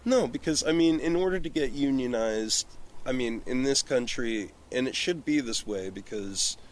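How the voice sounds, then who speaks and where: A man chats casually as a podcast guest, with an ordinary mid-range voice. He is reflective and thinks out loud, phrasing things loosely and haltingly, recorded out on a porch. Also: mid-range voice, expressive. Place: outdoor porch.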